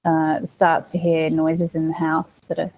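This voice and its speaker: Female interview guest, Australian accent, mellow and relaxed tone, conversational with thoughtful pauses. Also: sits low, slight background noise, poor audio. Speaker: interview guest, actress and producer. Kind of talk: casual interview chat.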